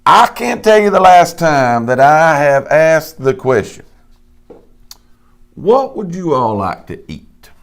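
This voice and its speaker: Animated man with a lively voice. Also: fairly high pitch, takes it slowly. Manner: animated, folksy preacher-like emphasis. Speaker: co-host. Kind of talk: casual live show chat.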